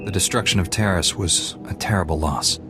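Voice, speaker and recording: Calm noble space knight, really deep male voice, composed, game dialogue over music. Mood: serious. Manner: measured, formal, composed. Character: calm, noble male Jedi knight, fair-minded hero. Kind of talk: scripted video game dialogue.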